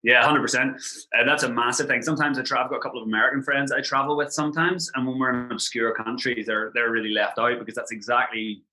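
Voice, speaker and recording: An Irish man in his thirties, a podcast guest telling travel and football stories in quick, animated casual chat with lots of "like" fillers. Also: mid-range voice, poor audio. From Ireland.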